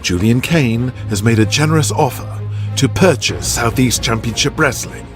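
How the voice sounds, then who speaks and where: A man's voice: medium pitch, moderate pace, soft background music, voice pushed up, lightly acted. A man voicing a prim, businesslike British executive in an audio drama. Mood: formal, composed.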